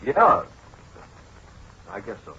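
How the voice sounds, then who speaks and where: An actor playing a skeptical, plain-spoken newspaper reporter in a 1940s radio drama, blunt and casual. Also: male voice, slow pace, pushed and bright. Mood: casual, resigned. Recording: dull 1940s radio broadcast.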